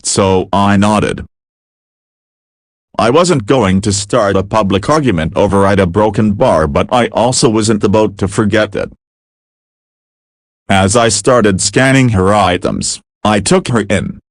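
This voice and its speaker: Male story narrator, lowish register, deliberate scripted delivery, very dry close sound. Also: no room sound.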